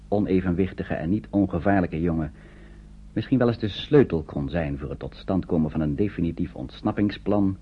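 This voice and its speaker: An actor serving as narrator of a wartime Dutch radio play, reading scripted narration in a measured manner. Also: male voice, low-pitched, breathy edge. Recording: dull, slightly noisy old radio recording.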